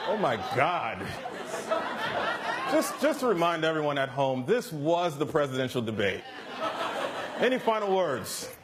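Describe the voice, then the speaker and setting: Measured, straight-faced American male moderator, slightly high voice, live comedy with loud audience. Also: big pitch swings, over a noisy crowd. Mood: exasperated. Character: formal, bemused male television debate moderator. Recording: noisy live studio, loud audience behind.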